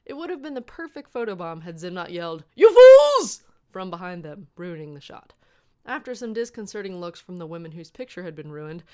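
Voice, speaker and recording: Low-pitched woman narrating a comic story. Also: lively intonation, fairly quick. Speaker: narrator reading fan fiction.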